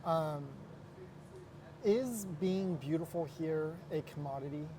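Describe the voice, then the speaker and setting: A man from the Los Angeles area hosting a casual interview, talking earnestly and haltingly with restarts. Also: on the high side, warm tone. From United States, Los Angeles area. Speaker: host, interviewer.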